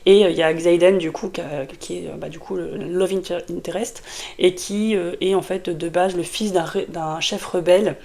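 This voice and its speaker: Woman, book vlogger, sore throat, head cold, chatty, rambling, lowish voice. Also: fairly even intonation, normal speed, creaky, mellow. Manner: chatty and rambling, many fillers and restarts. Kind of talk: casual book review to camera.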